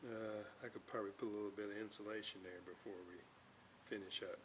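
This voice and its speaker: A man giving an unscripted hands-on repair demonstration, talking in a halting way as he thinks aloud. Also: lowish voice, steady tone. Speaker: host, electronics restorer. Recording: clear background noise.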